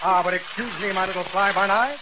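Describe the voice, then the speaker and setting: Pompous flimflam windbag played by a man, high-pitched, florid, repeating himself, vintage radio comedy with noisy rumble. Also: fairly even intonation, quick pace, lightly acted. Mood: grandly jovial. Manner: pompous, florid, muttering repetitions. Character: pompous flimflamming stock promoter, blustery jovial old windbag. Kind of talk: 1930s-40s radio comedy acting. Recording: noisy old radio recording, vehicle rumble.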